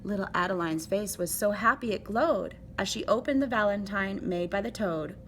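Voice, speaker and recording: A woman reading a children's picture book aloud with an expressive, rhythmic delivery. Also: medium pitch, normal speed, slight background noise. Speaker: storybook reader.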